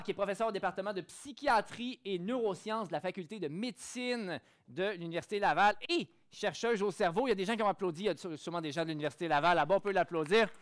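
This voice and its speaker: A man's voice: sits high, fast talker, fairly bright tone. A man with a Québécois accent moderating a panel at a forum, introducing guests with playful asides to the audience. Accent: Québécois French. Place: conference hall stage.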